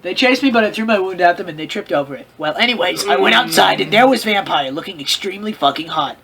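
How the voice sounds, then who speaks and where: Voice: medium pitch, animated, fast talker, creaky, crowd noise. A co-host reading fan fiction aloud in a mocking, casual way, exclaiming and breaking off to comment on the text.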